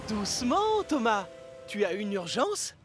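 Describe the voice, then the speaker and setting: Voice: medium pitch, sing-song, unhurried, faint music behind. An actor voicing a sweet, naive young male tank engine in a children's cartoon, childlike and hesitant. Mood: calm.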